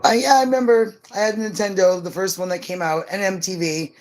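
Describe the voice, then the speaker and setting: A middle-aged woman chatting casually as a livestream co-host, in an easygoing, slightly halting, musing way. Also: mid-range voice, fairly even intonation, darkish tone. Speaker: live stream co-host.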